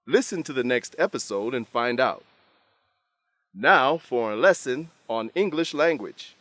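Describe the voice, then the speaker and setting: A man's voice: mid-range voice, a bit bright, no echo, studio quality. A man presenting as narrator and English lesson host, reading a script in an instructive radio style.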